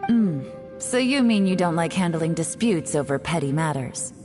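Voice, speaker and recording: A woman voicing a secretive female spy who speaks in a cool, dry, unhurried way. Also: fairly low pitch, animated, natural voice. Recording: game audio with music. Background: game music.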